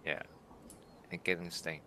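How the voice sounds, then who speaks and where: A man from Macau, a teammate on voice chat, teasing deadpan during a game. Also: deep voice, unhurried, a little hiss or hum. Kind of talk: casual gaming chat.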